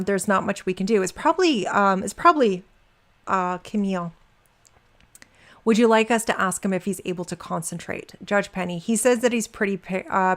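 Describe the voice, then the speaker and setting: Woman reading aloud with casual asides. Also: mid-range voice. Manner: informal, wry asides. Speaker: reader and commentator. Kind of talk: reading court transcript aloud with casual commentary.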